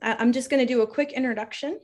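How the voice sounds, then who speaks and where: Woman cohost, warm and gushy, laughs a lot, lots of ums, on a video call. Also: a little high, fairly even intonation, quick pace. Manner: warm, gushing, laughs easily, frequent fillers. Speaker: podcast co-host. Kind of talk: casual chat and interview hosting.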